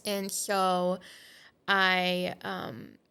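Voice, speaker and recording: A woman's voice: fairly low pitch, monotone, extremely slow, vocal fry, bright, forceful tone, clean tone. A woman in her thirties from Portugal, a podcast guest candidly telling her faith story with a lot of "um" and "like". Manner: candid and reflective, with frequent "um" and "like". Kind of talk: personal testimony in conversation.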